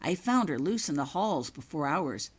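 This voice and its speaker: A woman's voice: low voice, big pitch swings, breathy edge, exaggerated voice. A woman voicing a respectful, defensive schoolboy prefect in a story, stiff and eager to justify himself. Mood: self-justifying. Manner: stiff, hurried, deferential.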